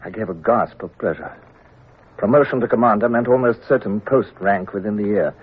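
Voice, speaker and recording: A man's voice: lowish voice, fairly quick, breathy edge. An actor playing a proud, brusque naval captain who narrates his own story in a 1950s radio drama. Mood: brooding. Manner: clipped, brusque commands. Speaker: narrator and lead. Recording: tinny old radio broadcast, faint music.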